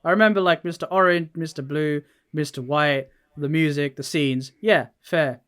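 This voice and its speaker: A man with a fairly high-pitched voice talks casually on a gaming podcast. He thinks aloud in an informal way, throwing out questions as he works through his point. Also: steady tone, normal speed, a bit bright, clear, resonant tone. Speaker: podcast host.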